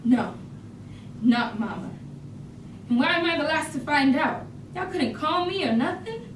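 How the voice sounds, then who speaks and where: Woman playing proud indignant young art student in a stage monologue, highish voice, noisy and distant. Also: sing-song, unhurried. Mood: distraught. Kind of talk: staged reading of dramatic monologue. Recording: echoey room from afar, noisy.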